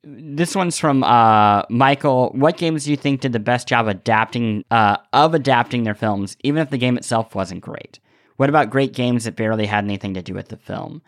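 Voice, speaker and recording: A man co-hosting a podcast in casual discussion, thinking aloud with animated enthusiasm. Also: medium pitch, normal speed. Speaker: podcast co-host.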